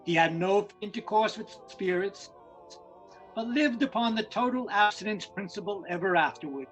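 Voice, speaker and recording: High-voiced storybook narrator. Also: male voice, lively intonation, a bit bright, muffled recording, faint music behind. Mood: earnest, uplifting. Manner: measured, earnest storytelling. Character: warm storybook narrator closing a Christmas tale. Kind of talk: scripted dramatic narration.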